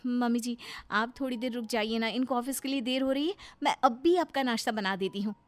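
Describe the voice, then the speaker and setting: A woman playing a polite, eager-to-please young daughter-in-law in an animated moral story, deferential and apologetic. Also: a little high, fairly quick, noisy background, close to an everyday voice. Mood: polite, obliging.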